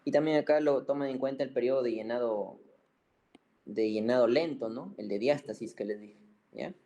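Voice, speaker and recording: A man's voice: mid-range voice, normal speed, warm tone, highs cut off. A man giving an informal medical lecture, colloquial and didactic, repeating key points and often checking in with short tags. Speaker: medical physiology teacher.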